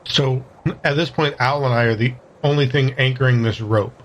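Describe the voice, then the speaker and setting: A man's voice: medium pitch, steady tone, normal speed, a little hiss or hum. A man plays a tabletop game, talking casually and working out his moves aloud in a tentative way. The recording is a little dull and muffled.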